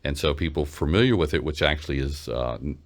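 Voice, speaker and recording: A man hosting a casual interview, asking questions in a halting, rambling way. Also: a bass voice. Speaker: interview host.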